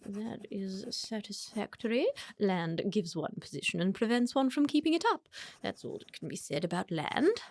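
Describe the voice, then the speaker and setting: A woman's voice: medium pitch, expressive, normal speed, dry recording. A woman playing an imperious, snobbish older aristocrat with an upper-class English accent in a comic period radio play. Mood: haughty, brisk. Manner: haughty, crisply judgmental, theatrical.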